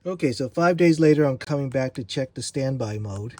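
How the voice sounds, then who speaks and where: A man's voice: medium pitch, animated, a little fast, a little mellow. Casual man reviewing gear. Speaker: product reviewer.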